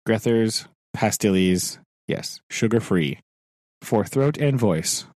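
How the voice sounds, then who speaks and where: A man's voice: lowish voice, slow pace, darkish tone, dry recording, studio quality. A man, a teacher co-hosting a podcast, chatting casually, laughing often and acting out his own thoughts. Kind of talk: casual chat. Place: home.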